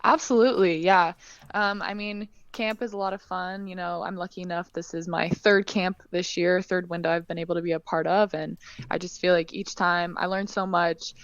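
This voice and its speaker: A woman's voice: lowish voice, fairly even intonation, moderate pace, clear, resonant tone, no room sound, muffled recording. A woman in her twenties with a Californian American accent, chatting upbeat in an interview. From Scotland, raised in California. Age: 20s. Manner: upbeat, chatty, uses um and you know. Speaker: professional basketball player, guest. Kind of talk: casual interview answers.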